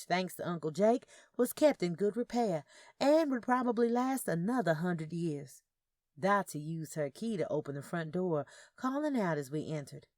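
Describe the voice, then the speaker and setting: A woman with a fairly low voice narrates audio fiction in the first person. Her delivery is measured, and the sound is close and dry. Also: dark tone, no echo.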